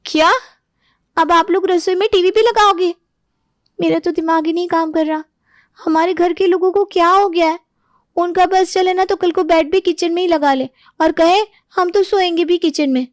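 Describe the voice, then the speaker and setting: A woman's voice: very high voice, fairly even intonation, moderate pace, dry recording, studio quality, voice pushed up. A woman voicing an exasperated young daughter-in-law in a moral story, scolding with sarcasm. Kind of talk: animated moral-story voice acting.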